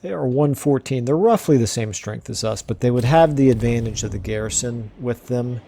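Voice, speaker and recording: A man commentating his strategy game play casually, thinking aloud with fillers, his voice going hoarse. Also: mid-range voice, normal speed, dark tone, slight background noise. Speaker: let's play host.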